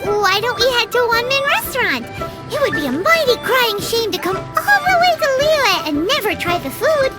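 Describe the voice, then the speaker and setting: A tiny floating fairy companion for a video game. The voice is high, squeaky and childlike, swooping up and down with chirpy, cheeky exclamations. Music plays behind it. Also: female voice, big pitch swings, moderate pace. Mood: eager. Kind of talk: video game character acting.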